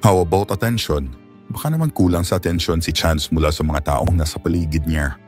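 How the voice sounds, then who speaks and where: Deep-voiced, measured male doctor, played by a man. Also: a little mellow. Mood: clinical, grave. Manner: clinical, measured, explanatory. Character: calm male physician explaining a grave diagnosis. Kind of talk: Filipino audio drama dialogue. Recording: music bed under the voice.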